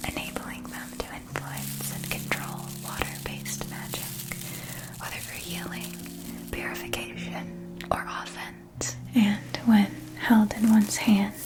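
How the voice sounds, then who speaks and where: Slow, whispering woman in ASMR roleplay. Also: medium pitch, flat delivery, loud music behind the voice, low-quality recording. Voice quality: soft breathy whisper. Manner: slow, deliberate, careful enunciation. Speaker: ASMR roleplay host playing a magic school shopkeeper.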